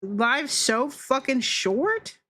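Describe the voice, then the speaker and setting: A woman's voice: high voice, big pitch swings, very slow, fairly bright tone. A woman streamer chatting casually while she draws, with a teasing, playful way of talking. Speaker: VTuber streamer. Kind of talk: casual livestream chat while drawing.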